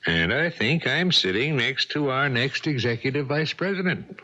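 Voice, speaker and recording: A man's voice: medium pitch, expressive, moderate pace, sharp tone. A man playing a smug, two-timing executive husband with emphatic delivery in a 1970s radio drama. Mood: hearty. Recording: dull 1970s radio broadcast recording.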